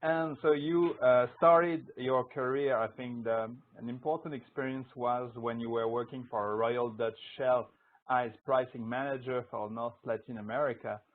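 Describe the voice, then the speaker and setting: A man's voice: medium pitch, steady tone, normal speed. Hesitant male host over a tinny line. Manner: hesitant, many fillers and restarts. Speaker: radio show host. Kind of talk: casual interview introduction. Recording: tinny phone-line audio.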